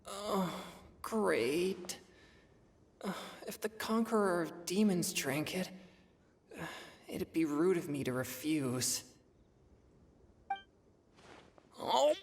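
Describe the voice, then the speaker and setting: A woman playing an earnest, polite and excitable teenage boy exorcist in a video game, with an expressive, sometimes hesitant delivery. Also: fairly low pitch, takes it slowly, breathy edge, full character voice. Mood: reluctant, dismayed.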